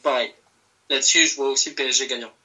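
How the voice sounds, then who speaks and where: A man's voice: mid-range voice, steady tone, quick pace, sharp tone. A man of Italian heritage co-hosting a casual football podcast, chiming in with short remarks. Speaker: podcast co-host.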